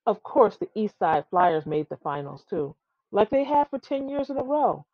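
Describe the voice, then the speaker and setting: A woman's voice: medium pitch, sing-song, moderate pace, no echo, lo-fi sound. A woman reading a children's tall tale aloud, expressively and with some stumbles over the words. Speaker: storybook reader.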